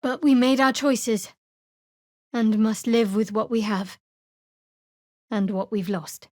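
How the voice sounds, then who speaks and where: A young female cleric sworn to a dark goddess in a fantasy video game. Her voice sits a little high, with a measured, cool and guarded delivery. She sounds secretive and dry in manner, captured in a very dry studio-like recording. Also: no room sound. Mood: grave, restrained. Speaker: video game character actor.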